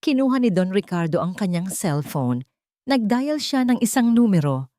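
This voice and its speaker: A woman narrating a scripted dramatic story, phrasing it deliberately with short pauses. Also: medium pitch, sing-song, dark tone, no room sound, clean studio sound.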